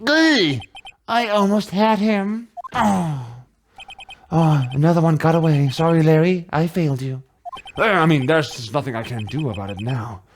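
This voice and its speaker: A man voicing an excitable young male defence lawyer in a comic game reading, melodramatic and prone to faltering. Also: a little high, measured pace, a little hiss or hum. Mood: defeated. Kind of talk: comic amateur video game dramatic reading.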